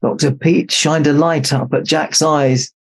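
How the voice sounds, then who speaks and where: Gravelly man reading a children's storybook. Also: on the high side, steady tone, a little slow, a little hiss or hum. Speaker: storybook reader in Santa persona. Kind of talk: expressive children's read-aloud narration.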